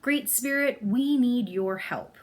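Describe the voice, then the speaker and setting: A woman's voice: a little high, slow, voice pushed up. A woman voicing an earnest young boy in a storybook, pleading for his hungry people.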